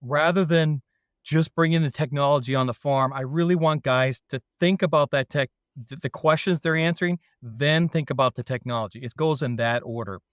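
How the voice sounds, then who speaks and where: Man, Canadian accent, dairy consultant, self-deprecating humor, mid pitch, dry close mic. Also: moderate pace, no echo. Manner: self-deprecating, jokey, relaxed. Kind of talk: casual podcast chat.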